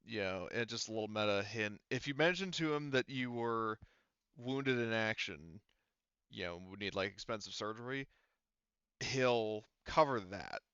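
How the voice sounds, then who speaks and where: A man acting as game master, improvising narration for a tabletop roleplaying game with halting, hesitant phrasing. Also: fairly low pitch, animated, fairly bright tone, clear, resonant tone, no room sound, dull audio.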